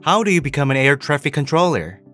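A man's voice: fairly high pitch, big pitch swings, fast, fairly bright tone. A man reading out scripted questions for an explainer video. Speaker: question reader. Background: background music.

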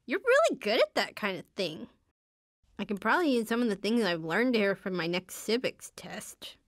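A woman's voice: high-pitched, a bit bright, no room sound. A woman playing a shy, wry teenage superhero girl in a video game, hesitant and understated, with dry earnestness. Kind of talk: video game character voice lines.

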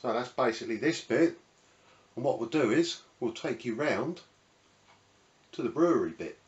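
A man's voice: mid-range voice, lively intonation, moderate pace, lo-fi sound. British man, casual rambling tour talk, echoey workshop, faint background noise. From United Kingdom. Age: middle-aged. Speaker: host of a woodworking channel. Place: small cluttered indoor home workshop. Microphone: camera mic a few metres away. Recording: roomy, distant mic, faint background noise.

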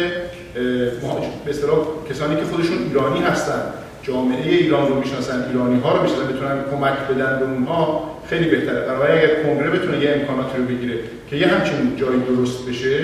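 A middle-aged man on a panel, answering an audience question in a measured, explanatory way with some hesitations, speaking into a handheld mic in a library auditorium. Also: medium pitch. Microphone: handheld mic, heard from far camera. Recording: echoey auditorium from far off, faint hiss.

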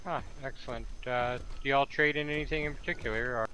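A man's voice: mid-range voice, steady tone, brisk, bright, forceful tone, slight background noise, low-quality recording. A man roleplaying in a game, giving matter-of-fact, folksy advice to another character. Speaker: fellow roleplay player.

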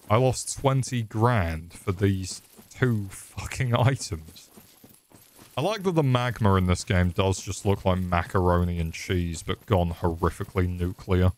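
A man's voice: sits low, darkish tone, a little hiss or hum. A young man streaming a game, swearing and joking sarcastically as he plays. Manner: sweary, sarcastic, crude jokes. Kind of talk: swearing live gameplay commentary.

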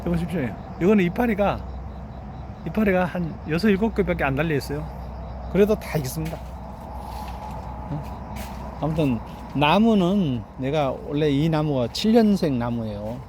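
Proud farmer man, raised pitch, outdoors. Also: lively intonation, normal speed, pauses often, background noise. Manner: proud, boastful, repeats "look here" and asks "eh?". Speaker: grape farmer showing his harvest. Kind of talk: casual vlog walkthrough. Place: outdoor vineyard.

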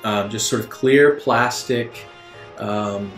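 A man narrating an improvised tabletop game as game master in a halting, conversational way. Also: fairly low pitch. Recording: echoey room, music underneath. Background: soft guitar music.

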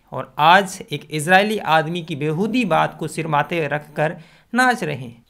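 A man in his twenties with a slightly high voice gives scripted opinion commentary. He speaks emphatically and argues his points, close to a desk microphone in a small indoor setup. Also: a bit bright. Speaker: video commentator. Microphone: desk condenser microphone, close.

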